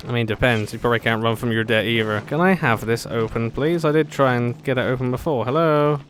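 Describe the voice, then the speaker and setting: A woman's voice: extremely low pitch, a little fast, slight background noise. A woman giving casual, chatty, playful gameplay commentary as a video host, reacting aloud to the characters. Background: faint game music.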